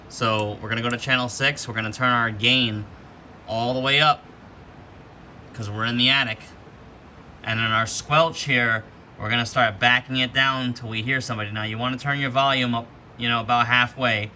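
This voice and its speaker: A man with a very bright, forceful, mid-pitched voice talks through equipment features in an informal, explanatory way. He sounds like a casual demo host, recorded in an attic with some light background noise. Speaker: host demonstrating radio gear. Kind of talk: casual hands-on product walkthrough. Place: attic, with rain outside.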